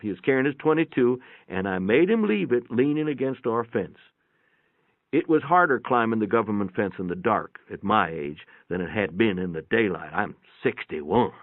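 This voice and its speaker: A man's voice: on the low side, no room sound. A man giving a dramatic first-person reading of a short story in a folksy, matter-of-fact storytelling manner. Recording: muffled, narrow phone-like sound, dry.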